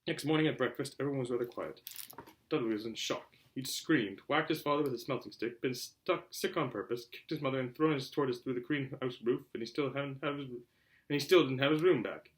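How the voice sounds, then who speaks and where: A man narrating a children's novel aloud in an animated way, occasionally stumbling over words. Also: mid-range voice, a little fast. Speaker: reader, show host. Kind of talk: amateur audiobook-style story narration.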